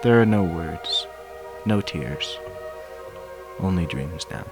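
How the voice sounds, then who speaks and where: A man's voice: low-pitched, expressive, slow pace. A man reading fan fiction aloud as scripted narration, with music behind him. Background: background music.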